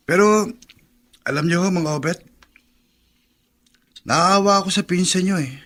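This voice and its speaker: An actor playing a modest, sympathetic young construction labourer in a radio drama, polite and self-effacing. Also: male voice, high voice, slow, a bit bright, full character voice. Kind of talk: naturalistic radio drama acting.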